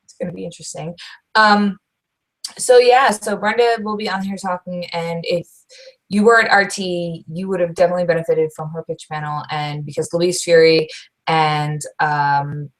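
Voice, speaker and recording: A young woman co-hosting a podcast, talking loud and fast in casual chat, full of restarts. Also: lowish voice, steady tone, rough recording. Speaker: podcast co-host.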